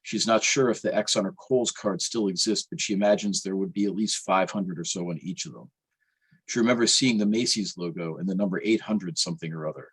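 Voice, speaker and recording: A man's voice: sits low, a little fast, fairly bright tone, breathy edge, rough recording. A man reading aloud from his own novel as its author, in a wry literary storytelling style.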